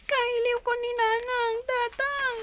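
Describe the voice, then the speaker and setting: A woman's voice: extremely high pitch, level tone, slow. A woman voicing a sad young child who misses a mother, plaintive and childlike, in a radio drama. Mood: longing.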